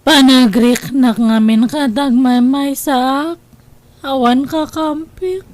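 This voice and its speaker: An actress playing a despairing, weary mother deep in debt, complaining plaintively in a radio-style comedy drama. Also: fairly even intonation, slow, darkish tone. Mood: weary, self-pitying.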